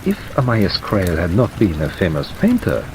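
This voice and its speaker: A man playing a polite male Belgian detective in an audiobook, speaking in a mild, cautious, measured way. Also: low-pitched, pitched below the performer's own voice, heavily acted. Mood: thoughtful. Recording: steady rain noise over narration.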